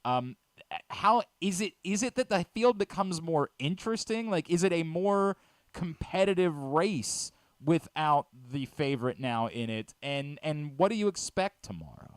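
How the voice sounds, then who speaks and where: Man, sports radio host, clean crisp voice, emphatic and repetitive, casual talk, dry close sound. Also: on the high side, animated, unhurried, dry recording. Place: radio studio.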